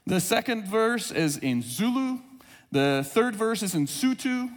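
A high-voiced man with a South African accent preaches in a relaxed, chatty way, wandering into asides and filling gaps with "um" and "uh." His voice carries a long reverb, as if it is filling a large church hall. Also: measured pace. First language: Afrikaans. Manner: conversational, storytelling with asides and fillers. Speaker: pastor. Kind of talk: sermon.

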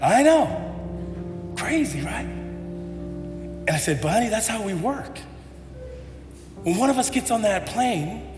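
High-voiced guy preaching, tells a story then gets all fired up, big echoey church hall, bit of music under it. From United States. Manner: storytelling, building to impassioned appeals. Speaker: pastor. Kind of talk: sermon.

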